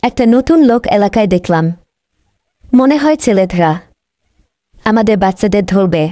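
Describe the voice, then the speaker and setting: A woman's voice: medium pitch, animated, normal speed, warm tone, soft background music, lightly acted. A woman voicing an alarmed, bossy animal mother in a children's cartoon, urgent and suspicious.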